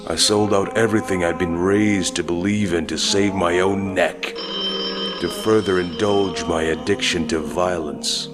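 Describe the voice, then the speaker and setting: A man playing a guilt-ridden, grim vigilante and ex-mercenary in a dramatic comic dub, brooding and terse. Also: low voice. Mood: bitter regret. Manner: brooding, confessional, terse under pressure. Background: background music.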